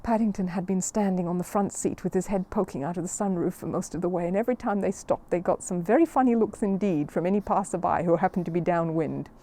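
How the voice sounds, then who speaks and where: A woman narrating a children's storybook in an expressive audiobook style. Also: lowish voice, fast, hardly any pauses.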